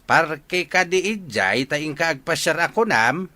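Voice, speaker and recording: A man's voice: fairly high pitch, lively intonation, measured pace, bright, forceful tone, dry recording. A man with an Ilocano accent playing an evasive, testy villager in a radio drama.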